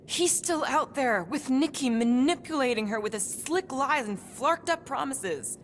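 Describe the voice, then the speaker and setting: A woman's voice: high-pitched, animated, unhurried, slight background noise. An actress playing a fierce, blunt, embittered woman warrior in a video game, terse and defiant. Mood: defiant, bitter.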